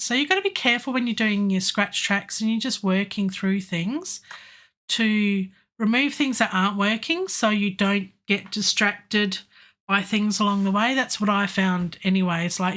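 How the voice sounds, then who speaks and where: A middle-aged woman with a hoarse, sore throat, thinking aloud as she works on a song at home, with lots of ums and pauses. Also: medium pitch, a bit bright. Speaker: music producer streaming a songwriting session. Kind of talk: casual live-stream talk while working. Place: home studio, indoors. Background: faint music playback from the session.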